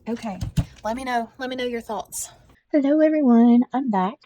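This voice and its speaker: A woman's voice: on the high side, normal speed, mellow. An older woman, chatting casually about her stitching with many restarts and repeats. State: congested. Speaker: embroidery vlogger.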